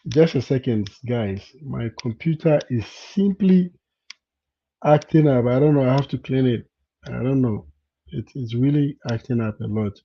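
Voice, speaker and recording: A man's voice: mid-range voice, unhurried, slightly rough. A man from Ghana chatting live with viewers, repeating words and often asking if they understand. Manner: keeps checking in with "you understand?". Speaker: vlogger hosting a live chat. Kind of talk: casual live-stream chat with viewers. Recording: dull lo-fi sound.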